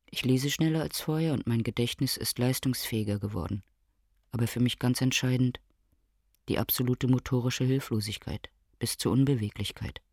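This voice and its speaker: An actor playing an ailing, weary brain researcher who speaks in deliberate, clinical, formal language in a radio drama. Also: male voice, medium pitch, a little mellow, no echo, studio quality, close to an everyday voice. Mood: clinical despair. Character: ailing male brain researcher, cerebral, helpless, weary, dying.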